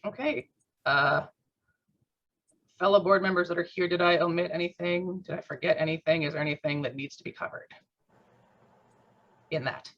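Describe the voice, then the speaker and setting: A man explaining club updates in an online meeting, talking informally with lots of fillers, his voice rough and strained after a workout. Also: fairly high pitch, normal speed, pauses often, fairly bright tone. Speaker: club board secretary. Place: at home on a video call. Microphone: computer mic on a video call. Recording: muffled video call audio.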